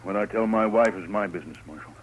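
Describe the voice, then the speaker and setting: A middle-aged frontier rancher voiced by a male actor with a low American voice. He sounds troubled, stubborn and ashamed, in old radio western style with light background noise. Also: close to an everyday voice. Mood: guarded. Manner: troubled, halting, defensive. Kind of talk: 1950s radio drama acting. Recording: noisy old radio recording.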